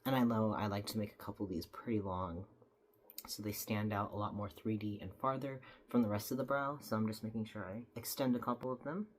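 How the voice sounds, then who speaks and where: A woman's voice: rumbling low, steady tone, brisk, slight background noise. Chatty young woman, slightly stuffy. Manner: chatty, self-deprecating, explains step by step. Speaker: makeup tutorial creator. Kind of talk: casual makeup tutorial narration.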